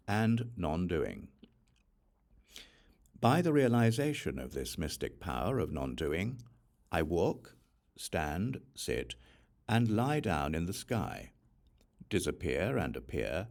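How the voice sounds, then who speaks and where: A man reads a sacred text aloud as an audiobook, in measured, solemn phrases with deliberate pauses. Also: low voice, creaky, darkish tone. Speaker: audiobook reader of scripture. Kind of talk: scripted reading of a Buddhist sutra.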